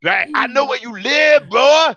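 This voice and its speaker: A man's voice: very high voice, expressive, unhurried, bright, forceful tone, no echo, lo-fi sound. A man joking boisterously and swearing freely in a casual podcast group chat.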